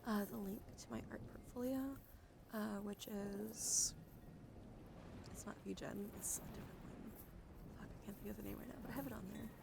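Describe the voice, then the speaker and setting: A woman hosting a casual ASMR livestream, talking softly and often dropping into whispers, in a rambling, easygoing way. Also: medium pitch, fairly even intonation, normal speed. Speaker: livestream host. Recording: clearly audible background noise.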